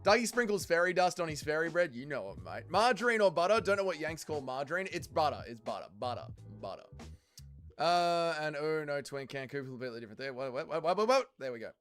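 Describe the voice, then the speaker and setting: A man's voice: fairly high pitch, sing-song, fairly quick, a bit bright, soft background music. A young man, hosting a casual livestream and bluntly arguing with chatters he reads out. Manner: heated, blunt, calls chatters trolls. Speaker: livestream host reading chat.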